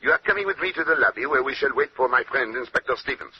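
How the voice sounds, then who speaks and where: An actor playing a fussy, shrewd Belgian detective with a French-Belgian accent, precise, courteous and theatrical, in 1940s radio style. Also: male voice, mid-range voice, expressive, fast talker, slightly rough, no room sound. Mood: composed, confident. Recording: muffled, noisy old-time radio recording.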